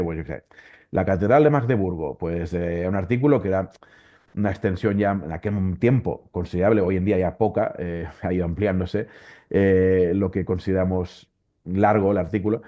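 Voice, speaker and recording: Low-voiced Valencian man, dull recording. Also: a little fast. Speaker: interview guest, volunteer Wikipedia editor. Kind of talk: conversational explanation in an interview.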